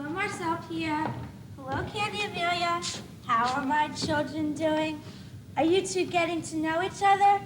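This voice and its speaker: Doting mom, played by a female student, extremely high sing-song baby talk, school stage play on a noisy old tape. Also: lightly acted. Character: doting new mother cooing to babies. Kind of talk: stage one-act comedy acting.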